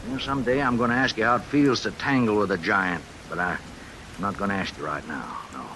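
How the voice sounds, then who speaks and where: A man's voice: lowish voice, fast, pushed and bright. An actor playing a weary, fatherly sheriff with an American accent, needling in a folksy, dry way in an old radio drama. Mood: dry reproach. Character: weary, wry small-town sheriff, fatherly but needling. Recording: hissy old radio recording with rain.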